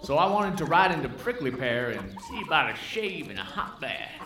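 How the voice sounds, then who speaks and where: A man's voice: fairly high pitch, sing-song, moderate pace, background noise. A man playing a polite, earnest young cowboy with a Western American accent in a radio western. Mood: upbeat, friendly. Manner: folksy, polite cowboy talk. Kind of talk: old-time radio western acting.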